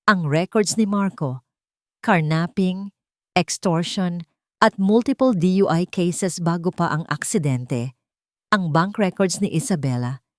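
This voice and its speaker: Low-voiced woman narrating a drama. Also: no room sound, studio quality. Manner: measured, dramatic storytelling. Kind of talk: scripted audiobook narration.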